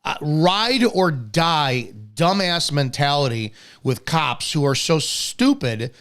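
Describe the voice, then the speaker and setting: A man's voice: fairly high pitch, lively intonation, takes it slowly, a bit bright, soft background music. An American man hosting a live talk show in a blunt, profane conversational manner. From United States. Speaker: host of a law enforcement talk show.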